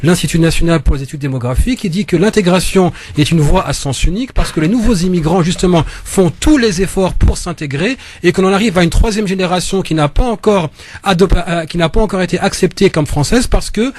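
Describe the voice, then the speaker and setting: A male guest in a radio studio debate who argues his point in a measured, explaining way. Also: high-pitched, a little mellow, slight background noise.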